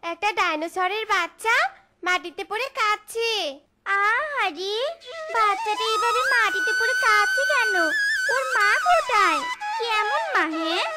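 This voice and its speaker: Someone doing a squeaky sweet fox mama, cartoon style, pleading and coaxing, music loud behind. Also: lively intonation, a little slow. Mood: pitying. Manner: plaintive, motherly, coaxing. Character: tender, childless fox wife turned doting adoptive mother. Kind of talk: cartoon character acting.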